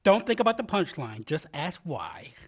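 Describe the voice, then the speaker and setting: A man's voice: a little high, sing-song, moderate pace. A man playing an obsessive young male graduate student who laughs at his own jokes, in an amateur radio play. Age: young adult.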